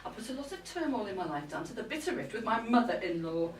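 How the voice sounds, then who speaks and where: Woman acting a peevish middle-aged wife, animated, roomy sound. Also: medium pitch, fast talker, crowd noise, natural voice. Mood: aggrieved, griping. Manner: peevish, complaining, indignant. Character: irritable, aggrieved middle-aged wife ranting alone at health spa. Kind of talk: live comic monologue reading. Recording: roomy live event, distant mic.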